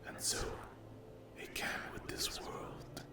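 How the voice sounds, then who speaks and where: A man playing a menacing, hungry sleep paralysis demon in a whispered ASMR roleplay, breathy and deliberate, taunting the listener. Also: echoey room, close to an everyday voice. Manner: very slow, deliberate, menacing, with long pauses.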